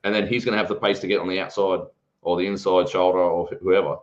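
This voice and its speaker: A man with an Australian accent and a very deep voice fires off opinions about his team at speed. The audio is dull and lo-fi. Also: flat delivery, fast. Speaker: podcast guest. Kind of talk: casual sports chat.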